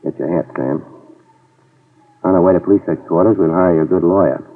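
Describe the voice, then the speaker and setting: A man's voice: low-pitched, fairly quick. A man playing a hardboiled private investigator who wisecracks and narrates in 1950s radio drama style, on an old recording. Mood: wry. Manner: dry wisecracking delivery. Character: hardboiled male private eye, wry and tough. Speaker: lead actor and narrator. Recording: tinny, distant old radio transcription.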